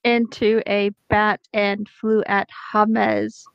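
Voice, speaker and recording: A woman's voice: medium pitch, level tone, slow, clear, resonant tone, no room sound, dull audio. A woman reading fanfiction aloud casually and comedically, chatting with friends. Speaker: co-host of a group fanfiction reading.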